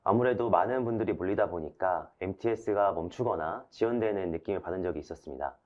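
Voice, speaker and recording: A man's voice: low-pitched, quick pace, dull audio. A man hosting a webinar in a polite, formal, scripted way, summing up and posing questions. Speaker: webinar host, moderator. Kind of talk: scripted moderated webinar talk.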